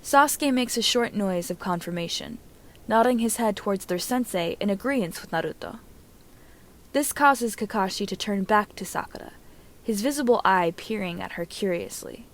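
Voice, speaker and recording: A woman narrating fiction from a script as an amateur audiobook reader, with an expressive storytelling delivery. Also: medium pitch, moderate pace.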